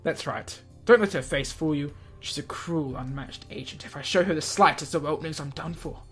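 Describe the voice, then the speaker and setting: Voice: mid-range voice, a little fast, fairly bright tone, soft background music. A gaming video host reading a visual novel aloud with playful asides, often stumbling over words and self-correcting.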